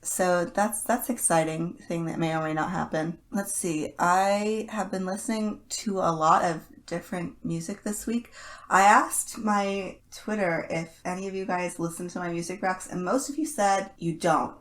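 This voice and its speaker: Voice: mid-range voice, fairly even intonation, normal speed. A podcast co-host chatting in a casual, joking fan style.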